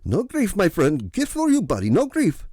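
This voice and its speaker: A man voicing a loud, excitable van driver in a high strained voice. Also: moderate pace, no echo, clean studio sound, full character voice. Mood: panicked.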